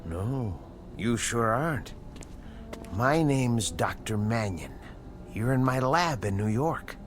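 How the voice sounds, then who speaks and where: An actor playing a calm, self-satisfied American scientist, soothing and a little condescending, in a radio drama. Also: male voice, medium pitch, takes it slowly, faint music behind. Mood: calm, pleased.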